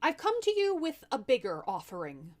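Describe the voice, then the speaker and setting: Casting: woman playing bold young storybook wife, shrewd, sassy negotiator, highish lively voice. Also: moderate pace. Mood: diplomatic. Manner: sassy, direct, modern slangy delivery.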